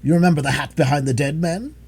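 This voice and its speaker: Guy doing a boastful pompous detective from a storybook, high excitable voice, really breathy rough. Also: fast. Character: pompous, self-satisfied male police detective, boastful and excitable.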